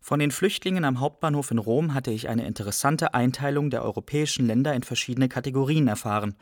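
Wry actor as refugee narrator, medium pitch. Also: male voice, fast talker, darkish tone, no echo, lightly acted. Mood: wry, factual. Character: young male refugee narrator, resourceful, wry. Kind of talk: literary radio play narration.

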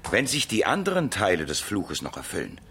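An actor playing a grave, ominous professor of the paranormal in a German radio crime play, speaking portentously and insistently. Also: male voice, lowish voice, wildly swooping pitch, fairly quick, pushed and bright, breathy edge, heavily acted. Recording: noisy old radio drama recording.

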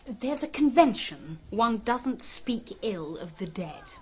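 Flippant upper-class young Englishwoman, high-voiced. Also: very animated, takes it slowly, a little mellow. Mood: cool, provocative. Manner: blasé, sardonic, blunt. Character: cool, flippant, outspoken young society woman. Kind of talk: radio drama acting.

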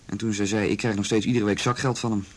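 An actor in a radio drama playing an uneasy, earnest schoolboy witness who speaks hesitantly and respectfully. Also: male voice, fairly low pitch, steady tone, fast talker, natural voice. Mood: troubled. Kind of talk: Dutch radio drama acting.

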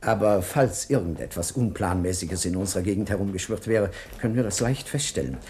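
An actor playing an affable, obliging military captain, easygoing and thinking aloud, in a German radio play. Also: male voice, low voice, brisk, a little mellow, a little hiss or hum, close to an everyday voice. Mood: helpful.

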